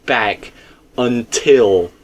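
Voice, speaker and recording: A man's voice: mid-range voice, extremely slow, a little hiss or hum. A man in a casual theory discussion, explaining his points emphatically and circling back to them. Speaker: video game theorist.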